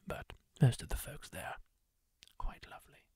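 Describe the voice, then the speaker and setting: A man whispering as a kindly masked male wanderer who gently reassures a wounded stranger, with musing asides. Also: normal speed, no echo, close to an everyday voice. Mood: soothing. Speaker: ASMR roleplay creator. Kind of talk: whispered ASMR roleplay.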